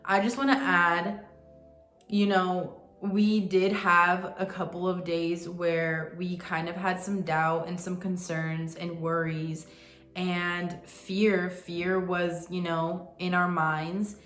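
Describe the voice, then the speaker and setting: A young woman talking casually and earnestly to her viewers, sometimes in quick bursts. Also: on the low side, little pitch movement, fairly bright tone, roomy sound, faint music behind. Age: young adult. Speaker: vlogger. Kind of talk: casual chat to camera.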